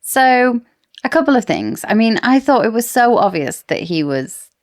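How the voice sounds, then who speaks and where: A woman's voice: a little high, big pitch swings, normal speed. A woman co-hosting a podcast, chatting casually about books. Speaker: podcast co-host. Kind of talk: casual book discussion chat.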